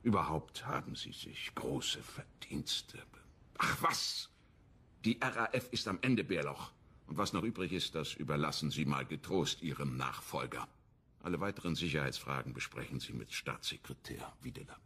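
An actor playing a stern, condescending government minister in a radio crime drama, curt and lecturing. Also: male voice, extremely low pitch, animated, moderate pace, bright, forceful tone, slightly rough, full character voice. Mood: curt, dismissive.

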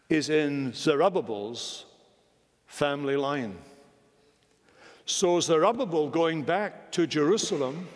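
A man in his sixties preaching a sermon from a pulpit in a large wood-panelled church, emphatic and measured, with wide swings of pitch. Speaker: senior minister preaching. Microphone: pulpit microphone. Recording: long church hall reverb.

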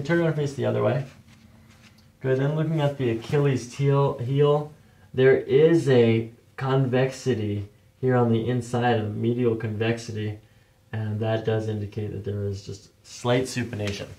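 A man's voice: medium pitch, steady tone, moderate pace, creaky, dark tone, a little hiss or hum, poor audio. A man in his thirties speaking as a chiropractor, methodically questioning a patient and explaining each step of an exam. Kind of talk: clinical patient consultation and exam commentary. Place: small carpeted chiropractic clinic room and hallway.

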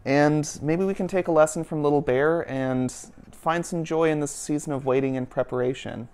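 A young man, a children and families minister, reading a picture book aloud in a gentle storybook cadence, seated on a living-room couch with a lapel mic. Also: on the high side, normal speed, clean tone. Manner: gentle storybook cadence, softening toward the end.

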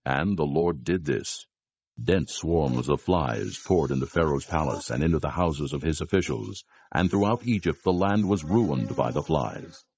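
Very deep, gravelly male narrator. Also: lively intonation, darkish tone. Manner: solemn, measured. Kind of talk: scripted narration of dramatized scripture.

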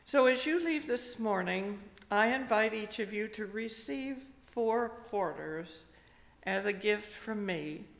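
Older woman, retired pastor, gravelly voice, unhurried sermon, church hall reverb. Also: mid-range voice, animated. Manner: slow, gentle storytelling with pauses. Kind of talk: sermon with a personal story. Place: church sanctuary, indoors. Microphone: stand microphone at a pulpit.

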